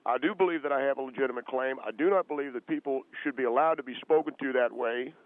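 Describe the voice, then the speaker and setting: A man voicing a defensive, humorless radio caller who argues in a halting, earnest, indignant way over a telephone line. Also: medium pitch, dry recording. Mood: indignant, suspicious. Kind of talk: comedic phone-in radio act. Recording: tinny, band-limited phone line.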